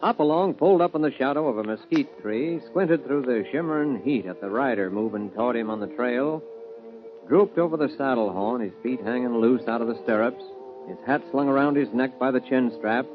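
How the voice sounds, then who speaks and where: A man's voice: medium pitch, expressive, moderate pace. A man announcing and narrating a 1950 radio western in a dramatic, declamatory style. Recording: dull old radio recording over music. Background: loud music.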